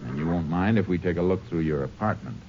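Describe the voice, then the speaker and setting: Actor playing a deep-voiced, dryly sardonic Texas Ranger. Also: male voice, fast talker, a crowd in the background, lightly acted. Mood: dry, pressing. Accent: American. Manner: terse, authoritative, dryly sardonic. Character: steady, shrewd, dry-witted male Texas Ranger investigator. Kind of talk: 1950s radio drama acting and narration. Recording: muffled, noisy old 1950s radio recording.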